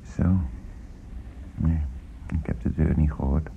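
An actor playing a weary, absent-minded old father in a radio drama, murmuring and repeating himself haltingly. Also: rumbling low, level tone, gravelly, heavily acted. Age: older. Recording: muffled, noisy old radio play recording.

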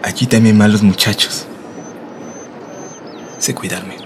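Guy acting young mexican man in a radio play, soft reassuring sweetheart type, lots of ambient noise behind. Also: on the low side, fairly even intonation, takes it slowly. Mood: tender, reassuring. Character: tender young migrant leaving his pregnant sweetheart.

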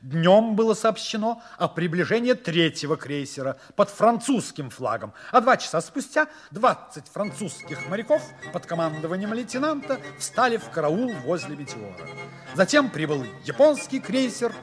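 A man's voice: high-pitched, sing-song, moderate pace. A man giving dramatic, mock-solemn scripted narration for a 1960s Soviet radio play. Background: music.

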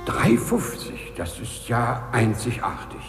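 An actor playing a polite, eccentric burglar in a formal, insistent, courteous manner, in a 1950s German radio play. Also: male voice, mid-range voice, huge pitch swings, slow, full character voice. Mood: savouring delight. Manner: formal, insistent, courteous, savouring his words. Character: polite, eccentric male burglar begging to be arrested. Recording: 1950s radio drama, husky sound, faint music.

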